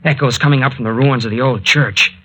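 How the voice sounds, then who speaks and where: An actor playing an earnest, excitable young adventurer in a 1940s radio drama, with a colloquial, solicitous way of talking. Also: male voice, mid-range voice, fairly quick, a little hiss or hum, close to an everyday voice, rough recording. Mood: shaken. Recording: vintage 1940s radio recording.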